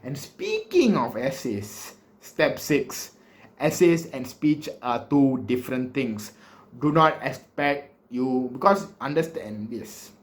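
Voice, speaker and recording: A man's voice: mid-range voice, takes it slowly. A man in his twenties with a Malaysian English accent, giving a casual, joking speech-writing lesson from a small room, gesturing a lot and drifting off topic. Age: 20s. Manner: animated, joking, big hand gestures, wanders off topic. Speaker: speech coach. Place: small bedroom with bookshelves, indoors.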